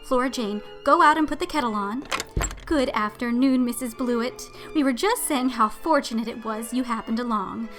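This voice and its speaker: A woman's voice: a little high, normal speed, over music, close to an everyday voice. A woman playing a fussy, bustling, chatty small-town housewife who gushes and exclaims, in a dramatized storybook. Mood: bustling, excited. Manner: effusive, exclamatory, gushing. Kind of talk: dramatized audiobook acting.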